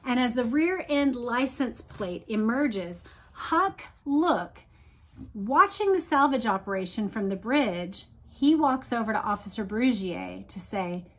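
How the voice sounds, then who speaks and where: A woman's voice: a little high, animated, unhurried. A woman hosting a read-along, reading aloud and adding emphatic explanations addressed directly to listeners. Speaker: host of a read-along discussion.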